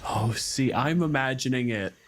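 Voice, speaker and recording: Male podcast host, average pitch, informal explainer style, some noise on the recording. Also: normal speed. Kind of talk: casual panel discussion with swearing.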